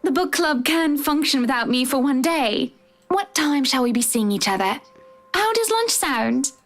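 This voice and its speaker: A woman's voice: sits high, animated, normal speed, voice pushed up. A woman voicing a genteel, haughty wealthy lady from a visual novel, speaking in a prim, formal and condescending manner. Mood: politely condescending. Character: genteel, haughty wealthy book-club lady buying a mansion. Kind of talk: character voice in a visual novel read-aloud.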